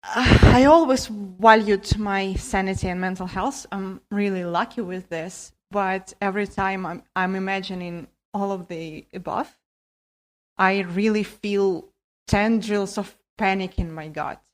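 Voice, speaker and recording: Very slow-speaking woman, reflective commentary. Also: mid-range voice, fairly even intonation. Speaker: listener sending in a voice submission. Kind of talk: reflective commentary on a story podcast.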